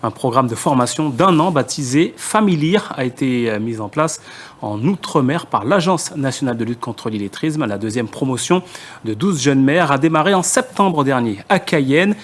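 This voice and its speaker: A man's voice: medium pitch, lively intonation, moderate pace. Male TV news anchor. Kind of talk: scripted TV news presentation.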